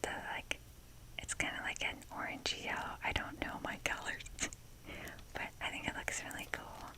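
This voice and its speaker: Young woman, breathy whisper, ASMR host, casual rambling delivery, self-deprecating. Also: moderate pace, bright, forceful tone, rough recording.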